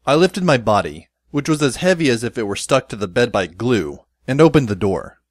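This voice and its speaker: A man's voice: medium pitch, big pitch swings, brisk, no echo. A man playing a wry, long-suffering teenage schoolboy who narrates an audio drama in a dry, literary, sardonic way. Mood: wry, pensive.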